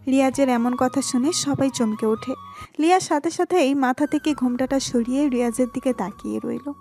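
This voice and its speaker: A woman's voice: high-pitched, moderate pace, mellow. A woman reading scripted narration for a romantic story. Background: music.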